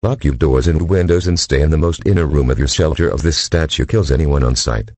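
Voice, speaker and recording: A man's voice: rumbling low, a little fast, a little mellow, slightly rough, no room sound. A man reading scripted emergency alerts as an announcer, in a formal, synthetic-sounding delivery.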